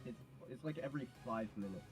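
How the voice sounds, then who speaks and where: A man's voice: medium pitch, quick pace, breathy edge. A man co-commentating on a livestream with short, casual interjections. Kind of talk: casual chat, brief interjections. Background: music.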